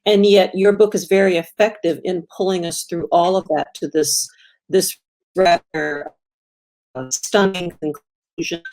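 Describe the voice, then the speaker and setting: A woman's voice: fairly low pitch, fairly even intonation, unhurried, a little mellow, rough recording. A woman moderating a book panel as a critic, asking questions hesitantly with many ums and restarts.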